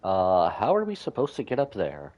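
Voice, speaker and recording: Very animated, lowish-voiced male game streamer. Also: moderate pace, dull audio. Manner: reactive, exclamatory, thinking aloud. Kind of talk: casual live gameplay commentary.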